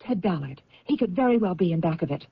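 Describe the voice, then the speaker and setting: An actress playing an anxious career woman convinced of a plot against her, halting and agitated, in radio drama style. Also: lowish voice, lively intonation, vocal fry, breathy edge, full character voice. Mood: insistent, agitated. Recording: dull, band-limited old radio recording.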